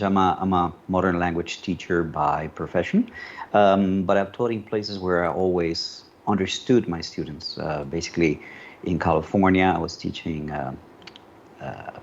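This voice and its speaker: A middle-aged man from Colombia, a native Spanish speaker, telling his story in a relaxed, rambling way with many fillers. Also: sits low. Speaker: panel guest, media commentator. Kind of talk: casual conversational storytelling. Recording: dull lo-fi call audio with faint noise.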